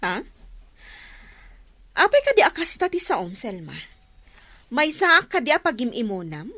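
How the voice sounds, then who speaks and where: Woman acting indignant Ilocano village woman, animated voice. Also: a little high, unhurried, dry recording, full character voice. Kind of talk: radio drama acting.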